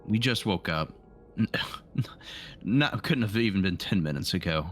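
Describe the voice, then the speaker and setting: A man's voice: low-pitched, lively intonation, normal speed, faint music behind. A man playing a tabletop roleplaying game, chatting casually and thinking aloud as he describes what his character does.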